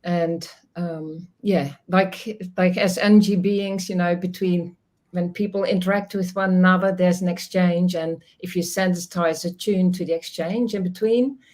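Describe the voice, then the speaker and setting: Dutch-native middle-aged woman guest, lower voice, thoughtful, laughs a lot, video call. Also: steady tone, measured pace, a little mellow. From Netherlands. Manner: reflective, laughs often, many restarts. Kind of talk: casual interview chat.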